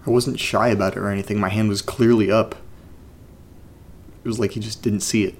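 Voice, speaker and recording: A male actor plays an uneasy, reluctant man in a horror audio drama. His voice sits fairly low, with a heavy gravelly fry. He speaks haltingly and naturally, as if muttering to himself, over a faint rumbling noise. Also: steady tone, close to an everyday voice. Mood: uneasy, subdued. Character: uneasy, reluctant man exploring a creepy place and reading strange letters. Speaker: main character and narrator of a horror audio drama. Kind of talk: naturalistic found-recording audio drama monologue, partly reading a letter aloud.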